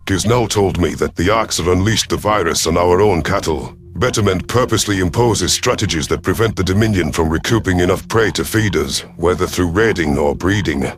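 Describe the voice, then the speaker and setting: Old reptile alien commander guy acting it, real low pitch, measured n sardonic, proud, audio drama. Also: close to an everyday voice. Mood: sardonic, wary. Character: proud, vengeful elderly male alien reptilian predator commander. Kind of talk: audio drama first-person narration and dialogue.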